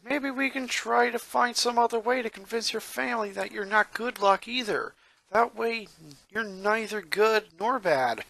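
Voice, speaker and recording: A helpful, nerdy preteen boy, acted by an adult narrator, full of questions. The voice is raised and bright, with a dry sound, like a friendly cartoon sidekick. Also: medium pitch, measured pace, creaky, no echo, voice pushed up, heavily acted, poor audio.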